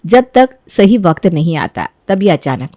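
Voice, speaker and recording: A woman's voice: on the low side, very animated, measured pace, a little mellow, dry recording, clean studio sound. A woman narrating a scripted story as a storyteller.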